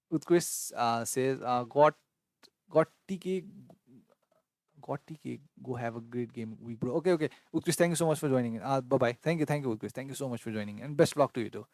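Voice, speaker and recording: A man's voice: medium pitch, normal speed, pauses often, darkish tone, dry recording. A man, a Newar speaker, hosting a live fantasy football Q&A and reading chat messages with many fillers as he thinks aloud. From Nepal. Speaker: fantasy football livestream host.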